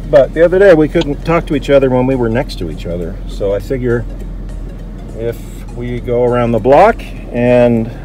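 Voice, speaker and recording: A man's voice: mid-range voice, moderate pace. A middle-aged American man gives a casual, hands-on explanation with an ordinary mid-range voice. He thinks aloud in a chatty way, recorded on a phone held close, with some music around him. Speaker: vlogger demonstrating Jeep radio gear. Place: outdoors by a vehicle and inside a truck cab.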